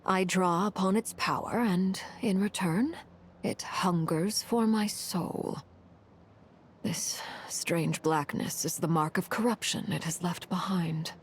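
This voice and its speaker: A woman playing a composed, commanding harbinger of cursed fire in a video game, speaking in a measured, solemn, formal way. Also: on the low side, slight background noise. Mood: solemn, reflective. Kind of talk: dramatic video game voice acting.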